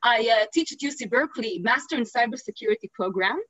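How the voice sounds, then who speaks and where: A woman's voice: fairly high pitch, lively intonation, fast talker, a bit bright, no echo. A woman in her thirties from Israel with an Israeli accent, upbeat and chatty, introducing herself on a panel over a video call. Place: home living room. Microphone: video call webcam. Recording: dull dry webcam sound.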